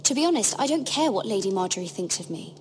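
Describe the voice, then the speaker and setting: Woman playing an earnest, guarded young handmaiden, expressive. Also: medium pitch, fast talker, slight background noise, natural voice. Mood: worried, earnest. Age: young adult. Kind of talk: dramatic video game dialogue. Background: music.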